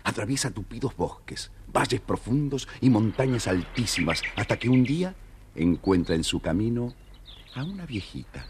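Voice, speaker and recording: A man narrating a children's fairy tale from a script in a theatrical, raspy voice. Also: on the low side, very animated, normal speed, darkish tone, a little hiss or hum. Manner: theatrical storytelling.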